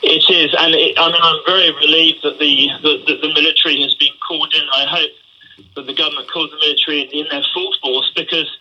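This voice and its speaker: A man speaking earnestly and haltingly in a radio news interview, heard through a dull radio speaker. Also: fairly high pitch, little pitch movement, pushed and bright.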